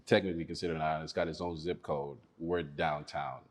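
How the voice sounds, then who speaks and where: Guy from malawi, super low voice, laid-back interview chat, lots of likes and uhs. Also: level tone, fast talker. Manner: chatty, laughs often, many fillers. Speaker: attorney and investor. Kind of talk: casual interview conversation.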